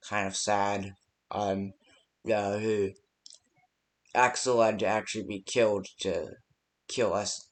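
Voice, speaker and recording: A man with a slightly low voice talks slowly, as if leaving phone messages for a game. His delivery is casual and unpolished, full of hesitant pauses and ums as he rambles along. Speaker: phone-call guide in a fan horror game. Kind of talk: improvised game phone-call voice lines.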